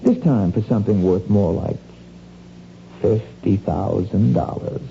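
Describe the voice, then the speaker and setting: Sly blackmailing crook, low and gravelly, slangy and insinuating, radio drama on a dull recording. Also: male voice, unhurried, heavy background noise. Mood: coaxing, sly. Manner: hesitant, insinuating, folksy slangy talk with ain't. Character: sly, wheedling small-time crook pressing a blackmail scheme.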